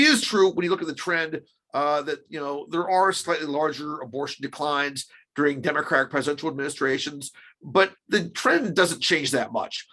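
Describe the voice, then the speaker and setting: A man's voice: medium pitch, fairly bright tone, breathy edge. A man giving explanatory interview commentary as a research scholar, emphatic and often restating his points. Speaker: podcast guest, research scholar.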